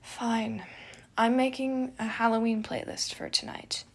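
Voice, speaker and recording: Woman playing theatrical young man, proud, huffy, dry comebacks, raspy creaky edge, storytelling podcast. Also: fairly high pitch, level tone, a little slow. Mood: reluctant, huffy. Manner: dramatic, dry retorts. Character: proud theatrical young man, festive bickering twin brother.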